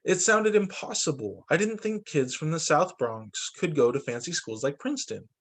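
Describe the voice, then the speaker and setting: Animated man reading a storybook aloud. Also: fairly high pitch, normal speed. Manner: animated, acts out dialogue for children. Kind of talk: expressive children's read-aloud narration.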